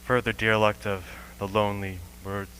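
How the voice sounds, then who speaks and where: A man playing a haunted professor, lone survivor of an invasion, in a brooding, deliberate radio play monologue. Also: lowish voice, flat delivery, a bit bright, a little hiss or hum, lightly acted, rough recording. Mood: bleak, brooding. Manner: brooding, deliberate, swelling into anguish.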